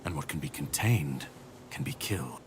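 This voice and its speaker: Deep-voiced, grim warrior. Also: male voice, lively intonation, moderate pace. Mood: grave, resolute. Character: grim world-weary warrior man, self-styled savior on a mission. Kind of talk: dramatic video game dialogue acting. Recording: clear background noise and ambience.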